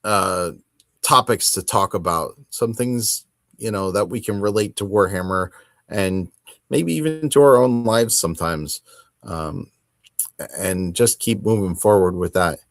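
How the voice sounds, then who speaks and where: A man hosting a hobby podcast, talking casually on his own in a rambling, halting and self-deprecating way. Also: lowish voice, a bit bright, no echo, studio quality. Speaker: host of a tabletop wargaming podcast. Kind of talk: casual solo chat to camera.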